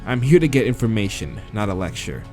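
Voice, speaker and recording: A man's voice: lowish voice, sing-song, brisk, warm tone, faint music behind. A man voicing a blunt, impatient human man, the casual-spoken protagonist of a visual novel.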